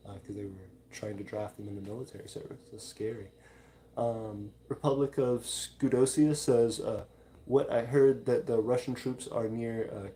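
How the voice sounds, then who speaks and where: A man hosting a livestream in a casual, informal chat style, talking haltingly as he reads out and answers viewer comments. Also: lowish voice, warm tone, a little hiss or hum. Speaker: livestream host.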